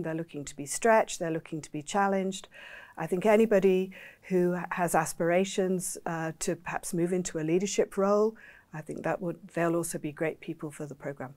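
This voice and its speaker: A woman's voice: lowish voice, a little mellow. A woman representing a business school program, answering questions emphatically with parallel lists. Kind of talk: interview answers.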